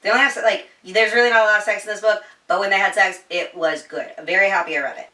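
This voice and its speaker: A woman chatting informally through a book recap, slangy and sweary, telling it like an animated storyteller. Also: medium pitch, racing, far from the mic. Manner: animated storyteller, voicing quoted dialogue. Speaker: book reviewer. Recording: echoey room, somewhat off the mic.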